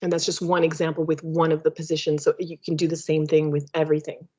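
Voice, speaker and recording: A woman speaks slowly and reflectively, pausing often with fillers as she explains. Her voice sits a little low. The sound is dull and lo-fi, as over a video call. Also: completely flat delivery, dark tone. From Canada. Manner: thoughtful, hesitant, many fillers. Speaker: webinar presenter. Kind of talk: academic webinar talk. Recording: muffled lo-fi video call audio.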